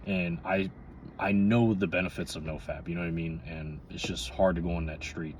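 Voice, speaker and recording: A man's voice: fairly even intonation, normal speed, a little mellow. A man in his twenties giving a casual, candid, rambling monologue to viewers, often checking in with "you know.". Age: 20s, twenty-three. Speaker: vlogger. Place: inside a parked or running car. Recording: noisy, vehicle rumble inside car.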